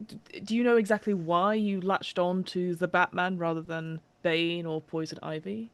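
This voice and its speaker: Woman, lowish voice, polite hedging delivery, mock-formal, casual improv comedy panelist. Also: steady tone, moderate pace.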